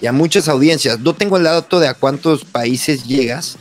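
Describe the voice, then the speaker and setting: A man hosting a podcast, asking questions in a casual, halting way and rephrasing himself as he goes. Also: fairly high pitch, soft background music. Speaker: podcast host, interviewer. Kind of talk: casual interview.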